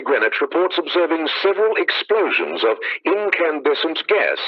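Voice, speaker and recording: A man acting a formal, measured 1930s radio news announcer in a radio play. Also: on the high side. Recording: dull lo-fi radio sound.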